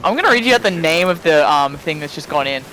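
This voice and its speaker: Male amateur reader, slightly high pitch, dramatic narration mixed with sweary chatty asides, noisy voice-chat audio. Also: quick pace. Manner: sweary, breaks off mid-sentence to chat. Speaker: fan fiction reader on a live stream. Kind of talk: dramatic reading with casual asides to friends. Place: live-streamed group voice chat. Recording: noisy voice chat, steady background noise.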